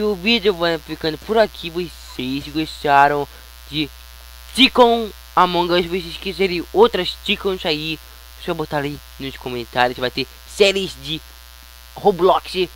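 A man's voice: fairly high pitch, fairly bright tone. Brazilian man gaming over a noisy hum. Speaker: gaming YouTuber. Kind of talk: casual gameplay commentary. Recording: noisy home recording with electrical hum.

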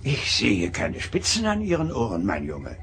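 An actor playing a very old, cantankerous but sentimental admiral in a German sci-fi audio drama, with swooping, teasing emphasis. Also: male voice, fairly low pitch, wildly swooping pitch, normal speed, a bit bright, soft background music, heavily acted. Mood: crotchety. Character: cantankerous, sentimental 137-year-old male starfleet admiral.

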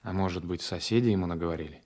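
An actor playing a self-assured gendarme, a secret lover, in a measured, restrained radio play manner. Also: male voice, deep voice, a little mellow. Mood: probing. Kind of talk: 1980s radio play acting.

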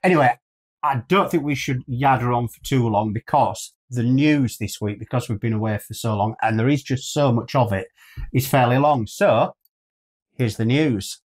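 A man's voice: medium pitch, moderate pace. A man in his fifties with a British accent, chatting casually and enthusiastically as a co-host, rambling along. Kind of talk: casual chat. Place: small furnished room, indoors.

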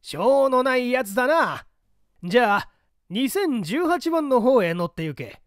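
A man voicing a pompous, brisk older government chief and bluff father with old-fashioned gentlemanly speech in an audiobook story. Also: extremely high pitch, very animated, no echo, studio quality, pitched above the performer's own voice, heavily acted. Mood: jovial, blustering.

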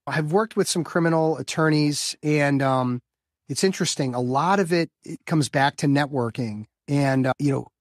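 A man's voice: medium pitch, dry recording, studio quality. A man in his fifties from the Chicago area, a business coach answering interview questions in an enthusiastic, upbeat way, often repeating words as he builds a point. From Chicago area, US Midwest. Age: 50s. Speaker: guest, business development coach for lawyers.

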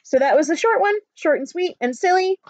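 A woman in her thirties reading a picture book aloud to schoolchildren with expressive storytelling. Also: extremely high pitch, brisk, dry recording. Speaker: school staff reading to pupils. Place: small book-lined room at home. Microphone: webcam at arm's length. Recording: dull, lo-fi webcam sound.

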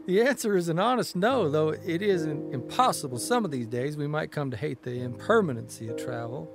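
A man's voice: a little high, animated, fairly quick, vocal fry, over music. A man playing a wry, wistful American traveling newspaper columnist, telling his stories in a folksy, reflective radio drama style. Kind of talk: first-person period radio drama monologue.

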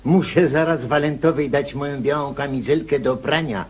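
Guy playing a scatterbrained naive manservant, radio drama, kinda high voice, bit of noise. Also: normal speed, close to an everyday voice. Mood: delighted surprise. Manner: folksy, flustered. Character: excitable, naive, scatterbrained male household servant. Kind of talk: radio play acting.

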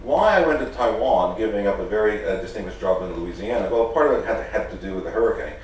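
A middle-aged professor raised in the Netherlands lectures at a podium microphone, earnest and halting, often restarting his phrases. His voice sits fairly low. The sound is very echoey and a bit distant, with a faint murmur of the room behind him. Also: animated, slight background noise. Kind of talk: formal lecture, unscripted. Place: large lecture hall with audience. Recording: echoey hall, picked up from a distance.

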